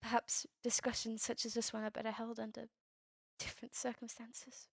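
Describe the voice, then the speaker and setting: A woman playing a melancholy, courteous vampire in an ASMR roleplay drama, with formal, old-fashioned, measured phrasing. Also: fairly high pitch, completely flat delivery, gravelly, no echo. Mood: somber, pessimistic. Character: melancholy, courteous female vampire, turned young over a century ago.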